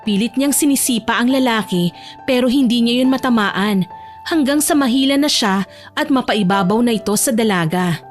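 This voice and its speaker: A woman's voice: fairly high pitch, normal speed, faint music behind. A woman reading scripted narration for a dramatic romance audio story. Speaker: narrator and voice actor.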